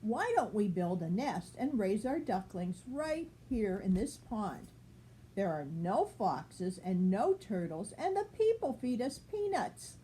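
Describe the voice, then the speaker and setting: An older woman voicing a fussy, excitable mother mallard in a storybook, with a fretful, emphatic manner. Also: medium pitch, slow, a little hiss or hum, rough recording. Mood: pleased, hopeful. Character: fussy, excitable mother mallard duck, choosy about homes. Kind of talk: storybook character reading.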